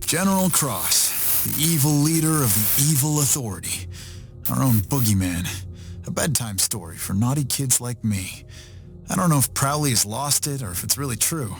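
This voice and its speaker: A man's voice: mid-range voice, animated, normal speed, vocal fry, soft background music. An actor playing a wry, blunt young male ranger hero in a video game, narrating and swearing freely.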